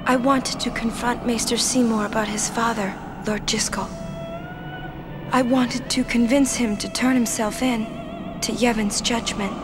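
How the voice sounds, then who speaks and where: An actress playing a gentle, dutiful young summoner in a video game, speaking in an earnest, formal and hesitant way. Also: female voice, a little high, steady tone, unhurried, music in the background, natural voice. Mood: regretful.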